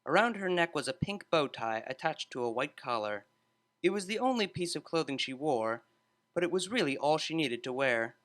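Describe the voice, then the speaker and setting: Lively, bright-voiced male storyteller. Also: on the high side, normal speed. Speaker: audiobook narrator. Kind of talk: scripted story narration.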